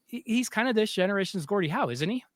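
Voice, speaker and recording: Excited host guy, pretty high sharp voice, dry close mic, chatting sports. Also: fast talker, no room sound. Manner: eager, enthusiastic introductions and questions. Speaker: podcast host. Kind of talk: casual sports interview.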